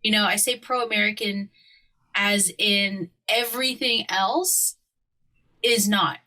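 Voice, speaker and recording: A woman's voice: mid-range voice, steady tone, takes it slowly, sharp tone. A woman from Hawaii chatting casually as a podcast co-host, opinionated and slangy. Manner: opinionated, slangy, often laughing. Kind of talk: casual conversational chat.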